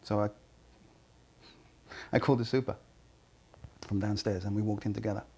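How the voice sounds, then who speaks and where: An actor playing an uneasy, plain-spoken building cleaner, a man giving halting, understated testimony in a crime drama. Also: lowish voice, fairly even intonation, vocal fry, a little mellow, breathy edge. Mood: matter-of-fact. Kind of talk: acted police interview testimony.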